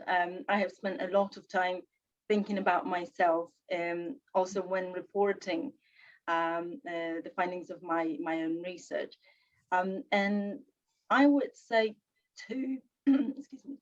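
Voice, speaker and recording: A woman's voice: medium pitch, no echo, muffled recording. A woman author with a slight sore throat, answering an audience question at a live online panel in a thoughtful way, with many fillers and restarts.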